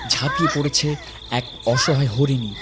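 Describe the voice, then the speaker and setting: A man narrating a horror story from a script, dramatically, in short phrases with pauses. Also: mid-range voice.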